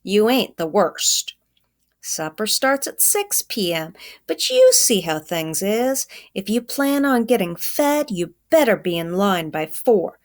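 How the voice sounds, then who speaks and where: A woman's voice: medium pitch, very animated, slow pace. A woman voicing a stern, threatening man who guards a food line in a children's storybook, scolding in folksy, ungrammatical speech. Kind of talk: children's storybook read-aloud.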